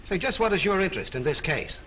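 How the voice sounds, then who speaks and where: A brusque police lieutenant from an early-1930s murder film. He fires blunt, sarcastic questions in a fairly high, gruff voice over a faint hiss. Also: expressive, fast, fairly bright tone, poor audio. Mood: grilling. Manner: blunt, needling interrogation.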